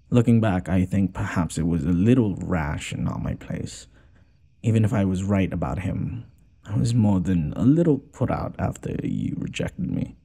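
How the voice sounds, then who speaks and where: Man playing a haughty, expressive fantasy noble lord. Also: fairly low pitch, creaky, husky, heavily acted. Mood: subdued, hurt. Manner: theatrical, sarcastic, exasperated sighing delivery. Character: haughty, wounded young noble lord, sarcastic yet caring. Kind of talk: scripted audio roleplay addressed to the listener.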